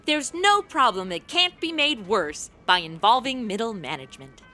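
A woman acting as a sarcastic, blunt modern wisecracker. Her voice is high and bright, and it swings widely in pitch through a comic radio play. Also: very animated, normal speed, soft background music. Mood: wry.

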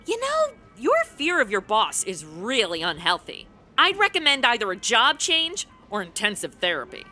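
A woman acting as a sarcastic, blunt modern wisecracker. Her voice is high and bright, and it swings widely in pitch through a comic radio play. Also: huge pitch swings, normal speed, faint music behind, exaggerated voice. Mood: wry.

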